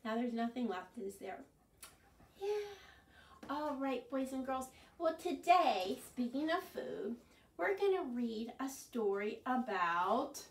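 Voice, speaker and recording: High-voiced older woman leading children's storytime. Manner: expressive, prompting children with questions. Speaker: library children's storytime presenter. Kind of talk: children's storytime, singsong chanting and read-aloud. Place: small furnished living room, indoors. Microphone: camera a few metres away. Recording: roomy, distant camera microphone.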